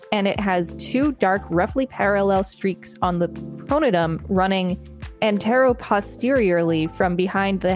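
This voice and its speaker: A woman's voice: mid-range voice, darkish tone. A woman co-hosting a podcast, reading an article aloud with casual commentary. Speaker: podcast co-host.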